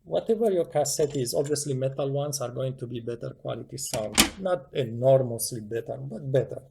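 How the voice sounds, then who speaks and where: Gravelly man explaining casually, noisy recording. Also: medium pitch, brisk. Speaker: tutorial presenter. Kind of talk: casual hands-on demonstration, unscripted. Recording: clearly noisy home recording with clicks.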